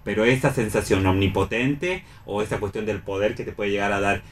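A man with an Argentine accent in a reflective radio discussion about the arts, thinking aloud in an animated way. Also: on the low side, a little fast, slight background noise. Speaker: radio panelist discussing theatre.

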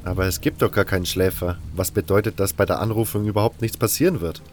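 Man voicing a low-pitched, wary storyteller. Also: fairly even intonation, fast, close to an everyday voice. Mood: questioning, sceptical. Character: wary male camp member narrating his own story. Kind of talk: first-person audio drama narration with dialogue.

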